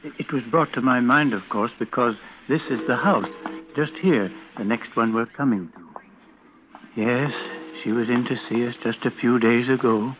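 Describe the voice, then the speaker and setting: An actor playing a formal, musing undertaker with hesitant, old-fashioned phrasing, in 1940s radio drama style. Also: male voice, mid-range voice, moderate pace, soft background music, lightly acted. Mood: musing, grave. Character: musing, formal undertaker telling an eerie tale. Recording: tinny, noisy old radio recording.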